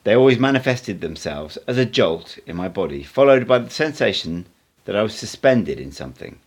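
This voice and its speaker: A man, an amateur reader, narrating an audiobook in an expressive storytelling style. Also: on the low side, brisk.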